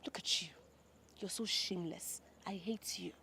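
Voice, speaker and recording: An actress playing a scornful, betrayed mistress in a film melodrama, with an accusing, repetitive delivery. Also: female voice, fairly low pitch, fairly even intonation, moderate pace, creaky, pushed and bright, breathy edge, dry recording, exaggerated voice. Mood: bitter, disgusted. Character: betrayed young mistress, scornful, morally outraged.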